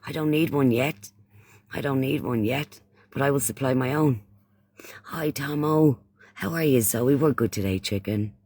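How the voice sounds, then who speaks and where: A man's voice: medium pitch, normal speed, darkish tone, no echo. A man from Ireland with an Irish accent hosting a casual livestream chat, often repeating phrases and using pet names for those he addresses. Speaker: livestream host.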